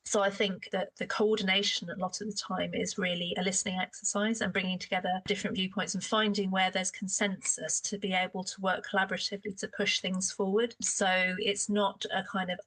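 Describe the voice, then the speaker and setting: A woman's voice: medium pitch, little pitch movement, a little fast, few pauses, fairly bright tone, low-quality recording. A woman, a food partnership coordinator, explaining her work in an interview, thoughtful with fillers. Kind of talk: interview answer.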